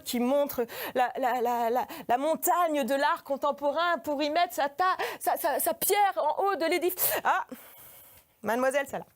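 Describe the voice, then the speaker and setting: A woman giving a humorous autobiographical stage monologue in an informal, hesitant, self-deprecating way. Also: high-pitched. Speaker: artist giving a performance lecture. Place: in front of a live audience.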